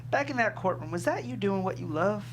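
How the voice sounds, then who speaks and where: A man's voice: high-pitched, animated, brisk, a little hiss or hum. Dry, candid actor as male consultant. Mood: dry, kind. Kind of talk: table read of a screenplay.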